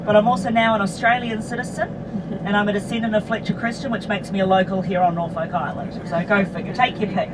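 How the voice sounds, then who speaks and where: A woman with a New Zealand accent talks a busload of visitors through local history, relaxed and chatty, tossing in casual asides. She sounds a little distant from the mic. A steady roar of engine and road noise sits under her voice. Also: medium pitch, fast. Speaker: tour bus driver and guide. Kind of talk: guided tour commentary. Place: moving tour bus. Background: vehicle engine and road noise.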